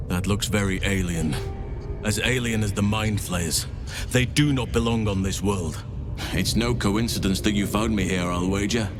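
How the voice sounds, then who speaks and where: An actor playing a thoughtful druid scholar in a fantasy game, speaking formally and deliberately with grave concern. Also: male voice, fairly low pitch, natural voice. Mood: earnest, explaining. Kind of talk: fantasy video game dialogue acting. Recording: music behind the voice.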